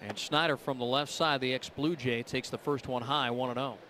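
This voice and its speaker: A man's voice: mid-range voice, steady tone, normal speed, pushed and bright. A man calling a baseball game live as the play-by-play announcer.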